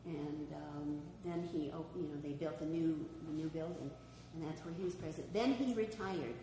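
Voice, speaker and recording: A woman whose first language is Greek gives a history talk, reading a story and then answering audience questions with many pauses and ums. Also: sits low. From New Mexico, USA. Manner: hesitant, with many ums and restarts when answering. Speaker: speaker giving a history talk. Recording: echoey hall, faint background noise.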